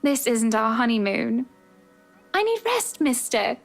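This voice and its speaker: Lady doing a high voiced young wife, frail but teasing, gentle, visual novel game. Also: big pitch swings, a little slow, gravelly, faint music behind, heavily acted.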